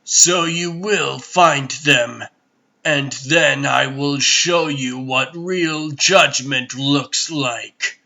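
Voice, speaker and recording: Comic-dub tyrant played by a man: brooding god-emperor, forceful bright tone, very slow grave delivery. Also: medium pitch, gravelly, dull audio, full character voice. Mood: restrained menace. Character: imperious, brooding male god-emperor and stern father. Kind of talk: dramatic comic dub acting.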